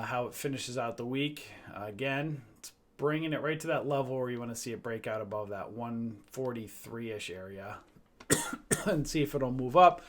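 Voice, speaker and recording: A man walking viewers through stock charts in a loose, rambling live recap, tired and losing his voice, often clearing his throat. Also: medium pitch. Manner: loose, rambling, many fillers and restarts, addresses viewers as guys. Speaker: stock trading educator. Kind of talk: casual live chart walkthrough.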